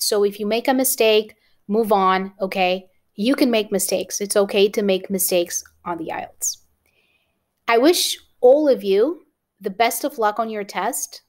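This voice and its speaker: A woman with a Romanian accent teaching an exam-prep lesson to camera, encouraging and often checking in with "okay?". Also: a little high. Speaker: English teacher.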